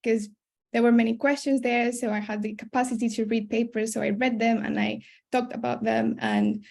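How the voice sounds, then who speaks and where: A woman's voice: on the high side, fairly even intonation, moderate pace, creaky, darkish tone. A young woman introducing herself as a panelist on a webinar. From born in Colombia, raised in Spain. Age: young adult. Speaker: PhD student and science communicator, panelist. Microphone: video call.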